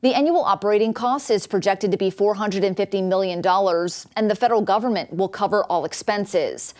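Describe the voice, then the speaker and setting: A woman's voice: medium pitch, brisk, a little mellow. A woman with an American accent delivering scripted news narration as a reporter.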